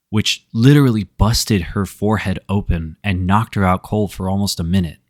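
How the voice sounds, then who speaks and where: A man's voice: low-pitched, no echo. Wry man narrating, close dry sound. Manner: wry, sarcastic asides while narrating. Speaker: storyteller host. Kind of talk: reading Reddit stories aloud with commentary.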